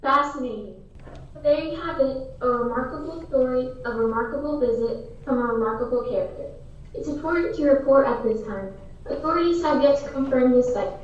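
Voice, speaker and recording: A woman's voice: sits high, a little mellow, close to an everyday voice. A woman playing an earnest on-the-scene radio news reporter in a mock news play. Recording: very echoey, distant, muffled.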